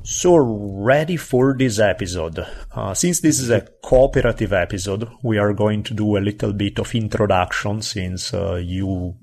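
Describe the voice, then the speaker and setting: Italian-accented male podcast host, low voice. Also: a little mellow. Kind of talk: casual podcast chat.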